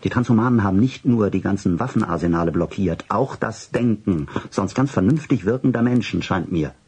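An actor playing a stuffy, easily affronted senior official in a radio drama, speaking formally and pompously. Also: male voice, lowish voice, lively intonation, brisk, darkish tone. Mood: weary, irritated. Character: stuffy, indignant male senior government official.

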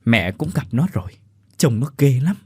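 A man voicing a frightened middle-aged mother who cries and pleads in a shrill voice for her son. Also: expressive, normal speed, dark tone, slightly rough, dry recording, clean studio sound. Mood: worried.

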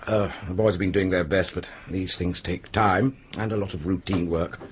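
An actor with a British accent playing a gruff, blunt, sceptical police pathologist in a radio drama, husky and brusque. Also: male voice, deep voice, fairly even intonation, normal speed, slight background noise, natural voice. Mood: matter-of-fact. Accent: British English. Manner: brusque, dismissive, dry.

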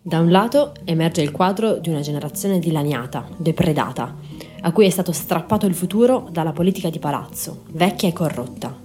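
A woman's voice: sits low, animated, fairly quick, dark tone, faint music behind. A woman in her twenties hosting a podcast, reading a scripted narration. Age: 20s. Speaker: podcast host and writer.

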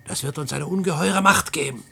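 Gravelly, forceful older man, a debt-ridden scheming professor, agitated and defensive. Radio drama, faint noise. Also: a little high, a little fast, full character voice. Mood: urgent, scheming. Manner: urgent, agitated, self-justifying. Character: ageing indebted professor, driven, scheming, defensive husband. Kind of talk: German radio play acting. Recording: faintly noisy vintage radio drama recording.

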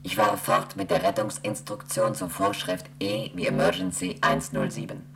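Einen Stationscomputer in einem alten Hörspiel. Die Stimme ist extrem tief und knarzig und trägt Regeln in gleichförmiger, mechanischer Monotonie vor. Dahinter liegt ein leises Rauschen. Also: female voice, rumbling low, moderate pace, gravelly, slight background noise, heavily acted. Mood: dictating. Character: female station computer voice, flat and monotonous. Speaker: station computer. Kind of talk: 1970s radio play acting.

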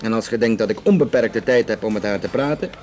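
An actor playing a courteous, flirtatious Flemish police detective in a naturalistic radio drama, speaking hesitantly with dry humour. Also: male voice, on the low side, fast talker, a little mellow, close to an everyday voice. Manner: hesitant, dryly joking, conversational.

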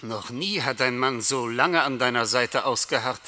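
Sinister, sharp-voiced mocking tempter, cavernous reverb. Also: male voice, mid-range voice, normal speed. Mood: cutting, accusing. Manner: taunting, insinuating, declamatory. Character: sinister mocking accuser, a tempter who knows all secrets. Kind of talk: theatrical German radio play acting. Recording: long hall-like reverb.

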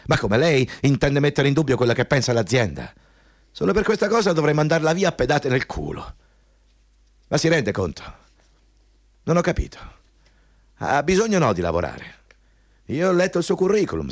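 Bullying company boss, radio drama, pompous, insistent, highly animated intonation, dry sound. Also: male voice, no echo. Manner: pompous, insistent, repeating words for emphasis. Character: arrogant, bullying male company boss interviewing a job applicant. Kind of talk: Italian radio play acting.

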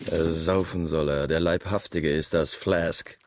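An actor playing a gruff, superstitious whaling mate in a radio drama, gravelly and grumbling in a conspiratorial way. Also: male voice, very deep voice, steady tone, measured pace. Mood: wary grumbling. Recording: clearly noisy sound-effects bed.

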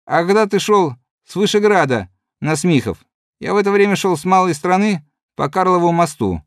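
Man voicing mocking young soldier, scolding, animated, dry close sound. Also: high-pitched, normal speed, dry recording, pitched above the performer's own voice. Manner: teasing, scolding.

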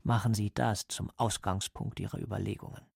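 An actor playing a calm, cold party interrogator in a radio drama, speaking in a measured, lecturing way. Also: male voice, fairly low pitch, gravelly, husky, no room sound, clean studio sound, full character voice. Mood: dismissive, certain. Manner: measured, didactic.

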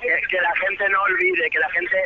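A man from Barcelona speaking earnestly and haltingly in an unscripted live interview over a muffled phone line from a street. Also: high-pitched, level tone, heavy background noise. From Barcelona, Spain. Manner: earnest, halting with repeated words. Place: outdoors in a busy city square.

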